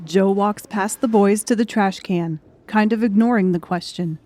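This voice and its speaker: A woman's voice: mid-range voice, moderate pace, warm tone. A woman reading scripted fiction narration as an audiobook narrator.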